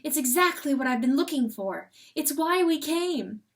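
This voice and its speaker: A woman voicing a cheerful, high-voiced female coyote. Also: animated, normal speed. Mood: excited. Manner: breathless, exultant, laughing. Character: cheerful carefree female coyote, gentle and delighted. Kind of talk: dramatic audiobook reading.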